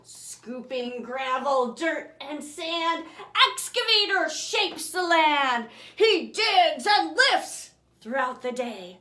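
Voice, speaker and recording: A woman reads a children's bedtime story in a high, lively voice. Her delivery is very slow and theatrical, shaping each rhyme with care and playing with dynamics. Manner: expressive, theatrical, swinging from booming to hushed whispers. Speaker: author reading her own picture book aloud. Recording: roomy, echoing room sound.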